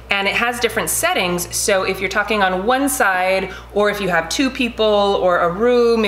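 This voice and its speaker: A woman in her thirties chatting casually through a product review vlog at home, animated and talking with her hands, recorded by a camera a little way off. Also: mid-range voice, a little hiss or hum. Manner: chatty, animated, gestures a lot. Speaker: lifestyle vlogger. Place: home room with shelves, indoors. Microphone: desk camera at mid distance.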